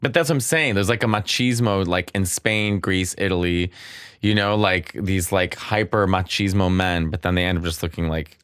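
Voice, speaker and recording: Brief: lowish male stand-up guest, playful teasing banter, dry close mic. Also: moderate pace, fairly bright tone, clear, resonant tone, no room sound. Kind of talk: casual comedy chat.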